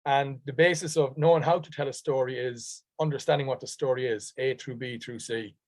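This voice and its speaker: A man's voice: mid-range voice, steady tone, fairly quick, no echo. Easygoing Dublin man, dry close sound. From Dublin, Ireland. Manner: easygoing, chatty, frequent ums. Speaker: actor guest. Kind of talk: conversational interview answers.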